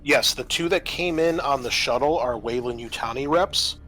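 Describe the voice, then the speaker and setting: A man's voice: medium pitch, normal speed, pushed and bright. A man acting as game master, explaining the rules and setting of a tabletop game in a conversational way. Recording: faint background noise.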